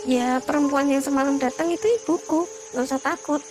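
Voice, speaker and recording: A woman's voice: high-pitched, fairly even intonation, brisk, lightly acted. A woman voicing a stubborn, trusting young female hiker in an Indonesian horror cartoon, with colloquial, insistent speech and an Indonesian accent. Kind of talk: animated horror story dialogue.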